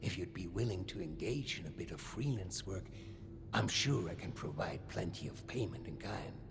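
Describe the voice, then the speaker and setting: A suave older German gentleman with a gravelly edge and a very lively, swooping pitch. He is a courtly, pompous vault overseer in a video game, relishing grand phrases, with music playing behind him. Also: normal speed. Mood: smooth persuasion. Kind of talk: scripted video game dialogue.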